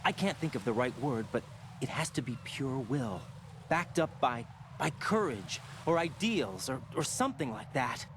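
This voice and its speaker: A man's voice: mid-range voice, big pitch swings, normal speed, close to an everyday voice. An actor playing an earnest young male soldier in video game dialogue, searching for words as he questions his orders. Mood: earnest, resolute. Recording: noisy game audio with vehicle-like ambience.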